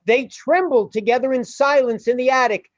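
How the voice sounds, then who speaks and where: A woman narrating a scripted radio play in deliberate short phrases, on a dull lo-fi recording. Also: high voice, no room sound. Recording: dull, dry, lo-fi sound.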